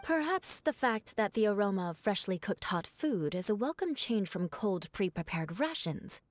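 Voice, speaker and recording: A woman's voice: medium pitch, very animated, moderate pace, no echo, close to an everyday voice. A woman voicing a poised, wry military woman with a formal, assured manner in an anime-style video game. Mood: wryly approving.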